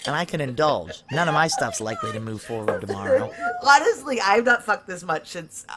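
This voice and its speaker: A woman's voice: fairly low pitch, huge pitch swings, a little fast, crowd noise. An actress playing a brash, foul-mouthed, hard-boiled woman operative, wry and blunt, in a noir audio drama. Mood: tipsy, boisterous.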